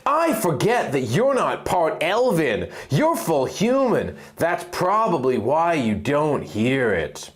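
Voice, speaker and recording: A man's voice: fairly high pitch, huge pitch swings, takes it slowly, hardly any pauses, heavily acted. A man voicing an earnest, alert half-elf husband, emphatic and concerned, in a fantasy story reading. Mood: urgent, concerned.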